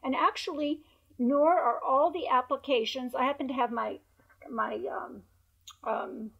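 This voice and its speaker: A hoarse woman who has lost her voice, speaking emphatically as a researcher guest on a video call interview, through earbuds. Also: sits high, unhurried, dull audio. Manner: emphatic, repeats points for stress. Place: bright room at home by a window. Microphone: wired earbuds on a video call.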